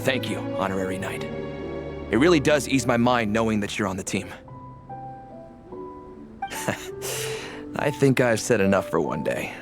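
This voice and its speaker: Low-voiced, protective middle-aged father. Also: expressive. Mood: grateful. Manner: measured, firm. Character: protective, serious middle-aged father, loving but firm. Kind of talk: anime game voice acting. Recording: music behind.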